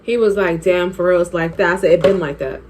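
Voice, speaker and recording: A woman's voice: on the low side, steady tone, fast talker, noisy background. A woman in her twenties telling a personal story while doing her makeup, chatty and candid, laughing often. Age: 20s. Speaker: beauty vlogger.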